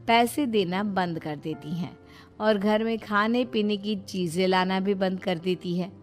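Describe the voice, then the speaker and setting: Female moral-story narrator over music. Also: mid-range voice.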